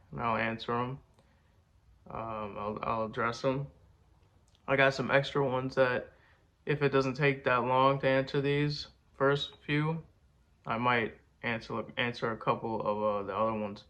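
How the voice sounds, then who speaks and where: A man's voice: mid-range voice, a bit bright, highs cut off. A man with a congested voice, talking to camera in a laid-back, slangy, rambling way. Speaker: rapper and video host. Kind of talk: casual talk to camera.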